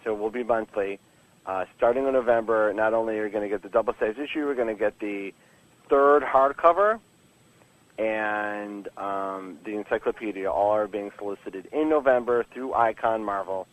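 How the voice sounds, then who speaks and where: A man with a fairly low voice talks as an interviewed writer on a casual podcast. He is informal and candid, often stopping and restarting his sentences as he rambles along, with a joking streak. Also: moderate pace, poor audio. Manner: rambling, stop-start delivery with many false starts. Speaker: comic book writer being interviewed.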